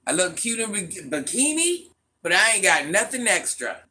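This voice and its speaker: Sharp-voiced woman teasing her viewers. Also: medium pitch, sing-song, moderate pace. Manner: teasing, talks straight to viewers by name, laughs often; calls herself Granny. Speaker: livestream host reading viewer comments. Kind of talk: casual livestream chat.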